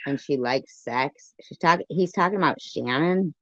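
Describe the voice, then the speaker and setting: Slow-talking woman, low-fidelity stream. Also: fairly bright tone, no room sound. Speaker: live stream host and commentator. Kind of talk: casual reaction commentary to live chat. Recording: dull lo-fi live stream audio.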